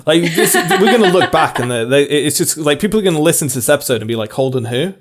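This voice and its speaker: A man's voice: fairly high pitch, animated, fast. A man hosting a casual podcast chat, playful and jokey, often laughing between lines. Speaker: podcast host.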